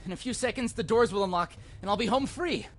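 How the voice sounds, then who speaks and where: A man's voice: high-pitched, fast, fairly bright tone, slight background noise. A man playing a panicked, bitter young murderer holding a hostage in a visual novel, tense and agitated. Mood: desperate, threatening.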